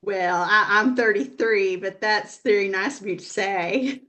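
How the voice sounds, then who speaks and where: A woman's voice: mid-range voice, a little slow, fairly bright tone, natural voice. A woman playing a folksy, protective mother who scolds, performed in a live comedy table read. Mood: flattered.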